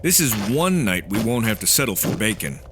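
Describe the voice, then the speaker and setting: Over clear background noise comes a blunt, dismissive fugitive in a radio adventure. His pitch sits in the middle and moves in a lively, theatrical way. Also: fairly quick. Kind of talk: old-time radio adventure acting.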